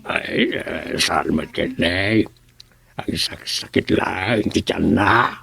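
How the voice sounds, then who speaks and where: A man's voice: deep voice, animated, slow pace, gravelly, pushed and bright, slightly rough, full character voice. A man playing a meek, grumbling townsman who talks hesitantly in a radio comedy-drama. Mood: meek, reluctant.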